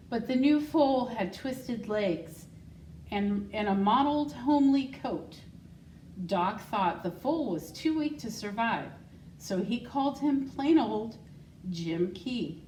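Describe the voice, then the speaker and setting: A woman reading a children's picture book aloud with measured, deliberate phrasing. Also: mid-range voice, a little mellow. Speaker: school secretary, guest storybook reader. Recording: roomy, distant mic, faint noise.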